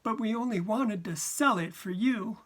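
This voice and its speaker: A narrator voicing a timid, anxious woman who pleads and whines gently. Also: mid-range voice, normal speed, voice pushed up, lightly acted. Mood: anxious, pleading. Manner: whining, hesitant, pleading.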